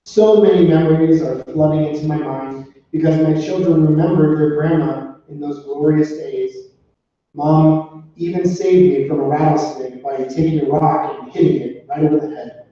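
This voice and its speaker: Guy reading a funeral tribute, really soft dark tone, distant and echoey. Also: a little high, steady tone, moderate pace. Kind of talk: read memorial tribute at a funeral. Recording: echoey room, distant muffled mic.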